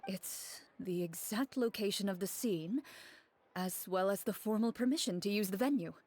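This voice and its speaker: A woman voicing a calm formal young woman. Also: mid-range voice, moderate pace, natural voice. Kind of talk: video game voice acting.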